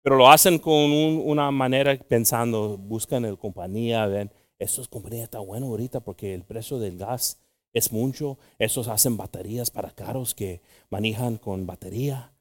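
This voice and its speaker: Mexican American pastor preaching bilingually. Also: male voice, mid-range voice, normal speed, no room sound. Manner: switches between English and Spanish, joking with the congregation. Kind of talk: bilingual sermon.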